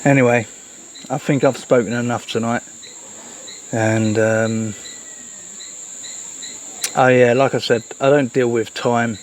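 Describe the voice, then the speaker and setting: A person giving a casual, reflective monologue, speaking earnestly and haltingly with repeated words. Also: mid-range voice, fairly even intonation. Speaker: host of a solo talk. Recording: noisy, with chirping insects behind.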